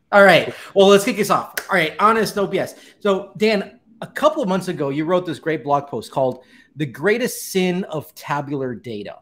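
A man from Colombia hosting a casual chat over a video call, upbeat and animated. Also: high-pitched, normal speed, a little hiss or hum. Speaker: podcast host. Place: home room indoors. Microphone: video call webcam.

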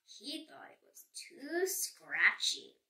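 Very animated, high-voiced woman reading a storybook. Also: slow, distant mic. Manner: dramatic, storytelling emphasis. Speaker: children's story reader. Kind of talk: expressive picture book read-aloud.

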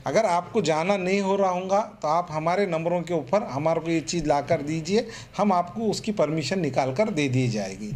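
A man's voice: fairly high pitch, normal speed, few pauses, a little mellow, a little hiss or hum. A man giving an earnest, explanatory statement to the press. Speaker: political party representative. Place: small office room, seated at a table. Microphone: press microphones on the table.

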